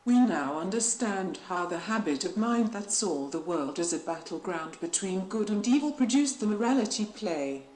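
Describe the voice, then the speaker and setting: A woman's voice: mid-range voice, lively intonation, moderate pace, few pauses, a little mellow. A woman reading formal academic passages aloud as a test example. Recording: echoey room.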